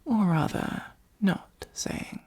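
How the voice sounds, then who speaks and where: A woman playing a possessive supervillain who is secretly fond of a hero, in an intimate ASMR roleplay, speaking softly and breathily with an earnest, gently wry delivery. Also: slow pace, natural voice. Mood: relieved, playful. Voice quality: soft, husky, breathy, often near whisper.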